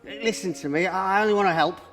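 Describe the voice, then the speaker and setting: A man's voice: sits high, fast talker, gravelly, fairly bright tone. A man playing a bumbling, eager, well-meaning magic helper in a stage pantomime, hesitant and coaxing. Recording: hall reverb with music, live stage.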